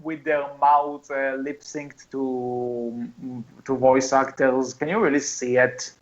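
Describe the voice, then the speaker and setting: A man co-hosting a casual podcast about animated films, talking in a halting, rambling way that repeats phrases. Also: mid-range voice. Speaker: podcast co-host. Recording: dull lo-fi voice chat audio.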